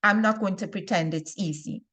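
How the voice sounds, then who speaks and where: A woman from Trinidad and Tobago with a Trinidadian accent gives an informal instructional talk to viewers as a health presenter, speaking deliberately and stressing key words. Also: mid-range voice, fairly bright tone. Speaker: health and wellness video presenter.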